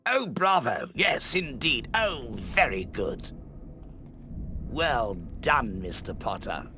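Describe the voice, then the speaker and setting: An actor playing an eccentric, mysterious old British wizard wandmaker in a video game, speaking in courtly, musing, portentous phrases. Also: male voice, mid-range voice, sing-song, slow. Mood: approving. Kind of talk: theatrical video game voice acting. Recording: game music behind the voice. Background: music.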